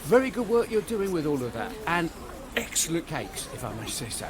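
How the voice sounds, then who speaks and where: Polite older husband, dry and understated but varied in pitch, on a noisy street. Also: male voice, big pitch swings, fairly quick. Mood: courteous, dry. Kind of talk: audio drama acting. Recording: noisy outdoor street ambience.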